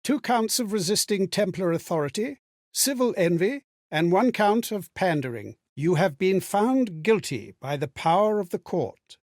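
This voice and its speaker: A man voicing a courteous, melancholy lost spirit in a video game, reflecting on memories in a measured, theatrical way. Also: sits high, no echo, clean studio sound, heavily acted. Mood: stern, formal. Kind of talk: dramatic video game monologue.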